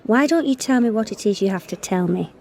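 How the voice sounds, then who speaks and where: An actress playing a forthright, wry young Englishwoman in a radio comedy, brisk, teasing and direct. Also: mid-range voice, animated, a little mellow, natural voice. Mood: wry, firm. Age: young adult. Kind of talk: radio comedy drama acting.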